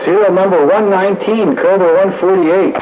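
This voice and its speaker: A man's voice: high voice, steady tone, normal speed. A man chatting casually over CB radio in folksy operator lingo, running a check-in net. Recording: muffled, noisy CB radio audio.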